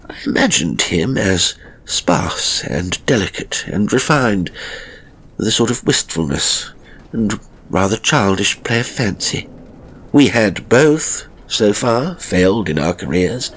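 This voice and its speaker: A man narrating a literary ghost story in the first person, with measured, dramatic phrasing and deliberate pauses. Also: fairly low pitch, sing-song, a bit bright, slight background noise, low-quality recording. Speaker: audiobook narrator. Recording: music behind the voice. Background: music.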